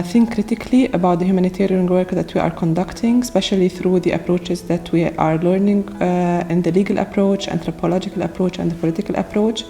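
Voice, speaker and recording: A woman's voice: on the low side, dark tone. A woman from Lebanon who works in human rights, speaking formally and carefully in a seated interview. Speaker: human rights NGO worker. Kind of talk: interview testimony. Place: indoor room with curtain backdrop.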